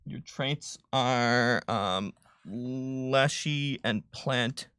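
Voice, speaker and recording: Bright-voiced man explaining game rules. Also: mid-range voice, very slow, clear, resonant tone, dry recording. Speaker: game designer co-hosting a live stream. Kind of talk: casual tabletop rules explanation.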